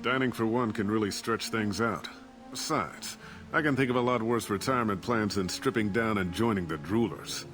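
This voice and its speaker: Man acting deep-voiced disgraced captain, self-justifying. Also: moderate pace, fairly bright tone, lightly acted. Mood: evasive, wry. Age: middle-aged. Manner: defensive, rationalizing. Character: disgraced, self-justifying ship captain, evasive aging father. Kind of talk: dramatic video game voice acting. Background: cinematic background music.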